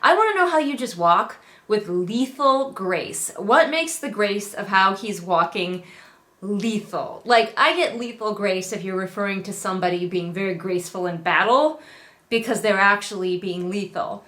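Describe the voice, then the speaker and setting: A woman's voice: mid-range voice, lively intonation, moderate pace, fairly bright tone. A middle-aged woman giving casual, exasperated read-along commentary on a book, sarcastically acting out quotes as she goes. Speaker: book review host.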